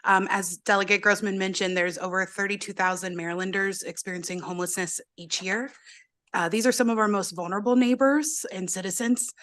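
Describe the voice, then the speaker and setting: Brief: hoarse woman witness, earnest, straining to speak up in a hearing room. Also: medium pitch, fairly even intonation, a little fast, a bit bright. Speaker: advocate witness. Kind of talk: formal testimony. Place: legislative committee hearing room.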